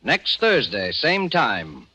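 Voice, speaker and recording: A man's voice: mid-range voice, wildly swooping pitch, slow, pushed and bright, husky, dull audio. An American man announcing formally and dramatically in 1940s radio style. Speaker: programme announcer.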